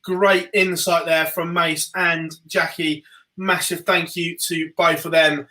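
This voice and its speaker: Bright-voiced male host, even pitch. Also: slow pace. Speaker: host of sports chat show. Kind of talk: live show hosting.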